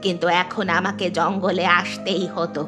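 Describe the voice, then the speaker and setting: A woman's voice: lowish voice, little pitch movement, moderate pace, over music, natural voice. A woman voicing a caring but stubborn, easily irritated old grandmother in a Bangla cartoon. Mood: irritable.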